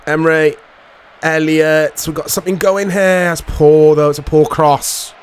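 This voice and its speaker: A man giving casual commentary on a football video game in short reactive fragments, his voice strained and a little hoarse. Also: on the high side, fairly even intonation, a little slow. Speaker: gaming channel host.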